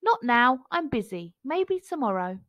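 A busy, tired storybook father, voiced by a woman, brushing people off briskly. The pitch is raised and moves about a lot, with a dry sound. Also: big pitch swings, dry recording, lo-fi sound. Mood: dismissive.

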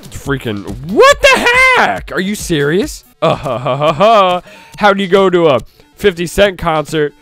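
A young man with a cold and a stuffy voice, giving excited let's-play commentary on a game and shouting at it now and then. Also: a little high. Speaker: gaming YouTuber.

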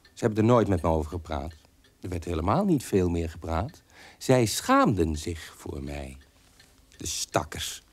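Bitter, defiant son, animated radio monologue. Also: male voice, deep voice, unhurried, full character voice. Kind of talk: Dutch radio drama monologue.

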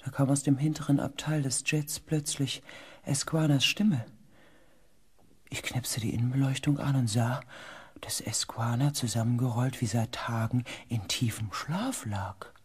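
Very husky, shaken space explorer. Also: male voice, mid-range voice, a little slow. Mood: tense, hushed. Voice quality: husky, breathy. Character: shaken space expedition member, narrating in dread. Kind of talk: radio drama narration and acting.

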